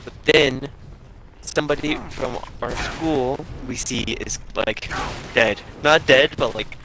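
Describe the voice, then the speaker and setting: A young man telling a casual story in a halting, rambling way full of self-corrections, as a game streamer chatting. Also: mid-range voice, noisy background. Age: young adult. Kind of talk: casual storytelling over gameplay.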